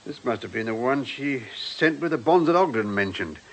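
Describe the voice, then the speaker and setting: A man's voice: medium pitch, lively intonation, normal speed. A man playing a deferential, methodical British policeman in a radio detective drama, plain-spoken and matter-of-fact. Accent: British English.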